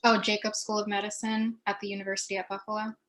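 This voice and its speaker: A woman's voice: mid-range voice, level tone, fast talker, fairly bright tone. A woman in her twenties, a medical student, introducing herself on a video call.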